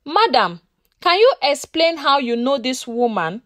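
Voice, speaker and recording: Woman as a Nigerian male police inspector, folktale character, slow, stern, official, questioning, high-pitched. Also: sing-song.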